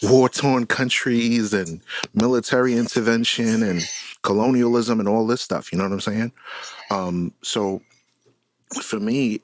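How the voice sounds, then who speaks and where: A man speaking as a panel guest in a measured, reflective and conversational way about personal views. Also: on the low side, a little hiss or hum.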